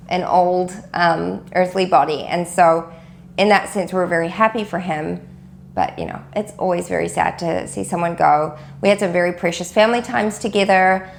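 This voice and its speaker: A woman in her thirties with a husky, slightly nasal voice from a cold, chatting casually to camera from a warm room at home. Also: on the low side, slight background noise. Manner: chatty, rambling, frequent ums and restarts. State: recovering from a cold. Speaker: homeschool vlogger.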